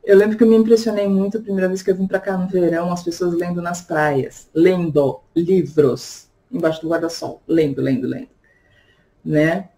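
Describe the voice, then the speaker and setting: A woman's voice: lowish voice, steady tone, moderate pace, warm tone. A woman from Brazil talking casually to her channel in a reflective personal vlog, chatty, often checking in with her viewers. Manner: chatty, reflective, frequent tag questions. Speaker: vlogger and tarot reader.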